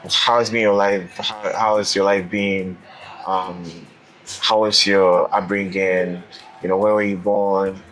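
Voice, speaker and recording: A man's voice: deep voice, flat delivery, unhurried, a bit bright, faint music behind, low-quality recording. A man interviewing a guest in a casual, conversational style as the host of a channel.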